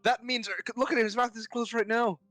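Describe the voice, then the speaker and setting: A man's voice: very high-pitched, flat delivery, a little fast, a bit bright, no echo. A man joking around casually as a co-streamer on a live game stream. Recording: dry close sound, music behind.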